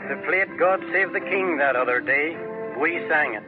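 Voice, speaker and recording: An actor playing an elderly small-town newspaper editor, gently recalling past days in a 1940s radio drama. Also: male voice, fairly high pitch, normal speed, over music, lightly acted. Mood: wistful. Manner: reminiscent, gentle. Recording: tinny old radio broadcast.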